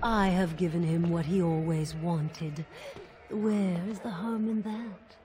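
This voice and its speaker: A woman's voice: on the low side, steady tone, slow pace, dark tone. A woman playing a possessive, seductive desire demon in a fantasy video game, silky and coldly defiant. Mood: cool, seductive.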